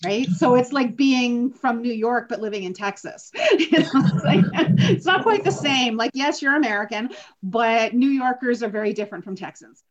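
A woman's voice: a little high, lively intonation, moderate pace. Female podcast host from Northwestern Ontario; candid, chatty, dull lo-fi audio. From Northwestern Ontario, Canada. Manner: candid, chatty, laughs easily. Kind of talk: podcast interview.

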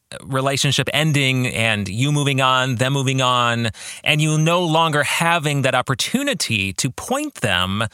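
A man speaks as a podcast host in a bright, forward voice with animated rises and falls. His phrasing is deliberate and earnest, like someone thinking through religious questions for listeners. The sound is close and very dry, with no room around it. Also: medium pitch, no room sound. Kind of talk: reflective religious commentary on a book.

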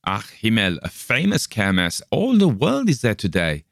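A man's voice: on the low side, huge pitch swings, normal speed, creaky, dry recording, clean studio sound, voice pushed up, heavily acted. A man voicing a stolid, plain-spoken German peasant with a blunt way of talking.

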